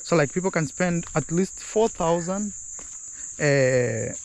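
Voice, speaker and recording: A man's voice: on the high side, lively intonation, takes it slowly, vocal fry. A man hosting a casual travel vlog outdoors, speaking to viewers as "guys". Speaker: vlogger host. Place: outdoors on a road near a beach.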